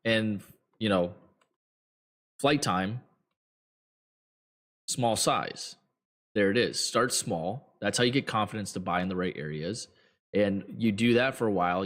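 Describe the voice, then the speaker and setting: A man hosting a trading show, giving blunt, rambling advice to viewers with many fillers, his voice getting hoarse. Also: lowish voice, a bit bright. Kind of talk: casual advice talk to viewers.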